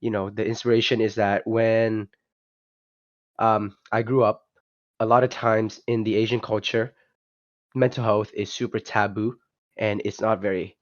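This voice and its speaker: A man's voice: fairly low pitch, flat delivery, measured pace, long pauses, no room sound. A young man, talking loosely as a guest on an online call. He hesitates and restarts often, leaning on fillers. The sound is dry and dull, with no room around it. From Vietnam. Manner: hesitant, many restarts and "you know" fillers. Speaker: guest, project founder. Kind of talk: casual podcast interview.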